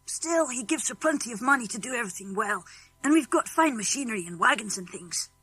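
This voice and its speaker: A woman's voice: fairly high pitch, animated, a little fast, a bit bright, breathy edge, faint music behind, rough recording. A woman voicing a friendly, outspoken farm boy with a British accent in a children's audiobook. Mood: frank, confiding. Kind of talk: children's audiobook character acting.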